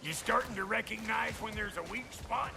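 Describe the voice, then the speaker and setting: An actor playing a cocky, wisecracking dwarf blacksmith in a video game, with a gravelly folksy delivery. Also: male voice, sits high, normal speed, distant mic. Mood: teasing, instructive. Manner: folksy, brash. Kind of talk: video game character acting. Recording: loud game music and machinery behind.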